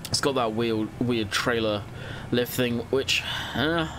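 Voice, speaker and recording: A man's voice: mid-range voice, slow pace, background noise. A young man with a stuffy, congested voice, chatting casually as a gaming channel host and thinking aloud while he plays. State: congested from a cold. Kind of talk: casual let's-play commentary.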